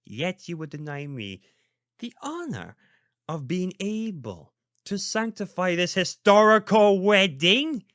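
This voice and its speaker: A man's voice: sits high, sing-song, slow, fairly bright tone, no room sound, studio quality, exaggerated voice. A man playing a pompous, indignant elderly priest in a theatrical audio roleplay, sardonic and histrionic. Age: older.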